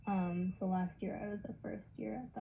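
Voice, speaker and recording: A nineteen-year-old woman speaks in a relaxed, wry way at a middle pitch. The recording is dull with faint noise. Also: moderate pace, creaky. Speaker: art student. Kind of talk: casual answers.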